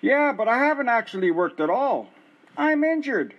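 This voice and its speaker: A man's voice: very high voice, sing-song, moderate pace, muffled recording, full character voice. A man playing a frustrated middle-aged injured worker who calls one office after another and insists on his case. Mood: flustered. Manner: exasperated, insistent. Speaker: caller. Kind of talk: amateur radio play acting.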